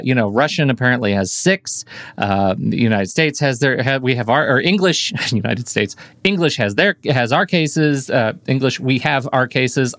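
A man co-hosting a podcast in casual chat, talkative and quick to laugh at his own slips. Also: mid-range voice, no room sound, clean studio sound. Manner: chatty, self-correcting, laughs easily. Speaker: podcast co-host.

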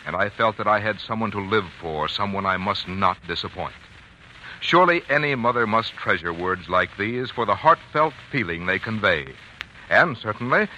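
A man's voice: on the low side, sharp tone. A man working as a radio announcer, reading a polished, formal 1940s sponsor message. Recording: dull, faintly noisy 1940s radio broadcast.

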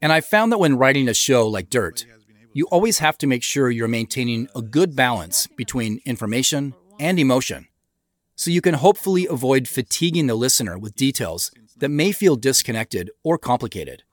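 A man giving scripted director's commentary in a measured, explanatory manner into a close mic. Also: medium pitch, big pitch swings, no room sound. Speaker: show creator and commentator.

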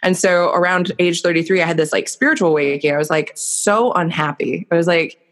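A woman's voice: lowish voice, steady tone, a little fast. A woman in her thirties, a podcast guest, telling her life story in a candid, reflective way with plenty of filler words. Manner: reflective, earnest, many fillers. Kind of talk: candid personal storytelling in conversation.

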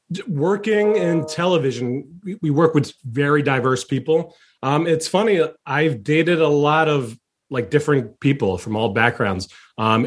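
Thirtysomething guy from new york, easygoing, jokey, lots of ums, mid voice. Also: mid-range voice. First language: Chinese. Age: 30s. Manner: laid-back, joking, lots of fillers. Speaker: podcast guest.

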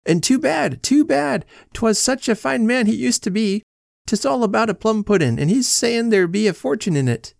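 A man reading a storybook and voicing a weepy old Irish woman with a high, quavering wail. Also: animated, moderate pace, gravelly, a little mellow, no echo, studio quality. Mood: lamenting.

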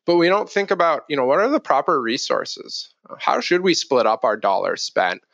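A man's voice: fairly high pitch, expressive, normal speed, gravelly, fairly bright tone, no echo. A man explaining things conversationally as an interview guest, often posing rhetorical questions, on slightly muffled audio. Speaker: podcast guest, marketing executive.

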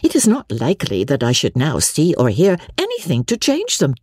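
A woman's voice: deep voice, huge pitch swings, moderate pace, darkish tone, slightly rough, dry recording, clean studio sound, voice pushed up, exaggerated voice. A woman voicing an ardent, idealistic young woman in a story, speaking emphatically and decidedly. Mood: firm, nostalgic. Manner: emphatic, exclamatory.

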